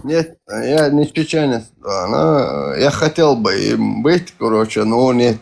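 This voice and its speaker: This is a man with a Caucasian accent calling in to a live voice chat. He rambles through loose, hesitant speech full of fillers and self-corrections. His mid-pitched voice has a gravelly edge. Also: sing-song, a little slow, darkish tone. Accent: Caucasian-accented Russian. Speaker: caller on a live stream. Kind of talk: casual chat.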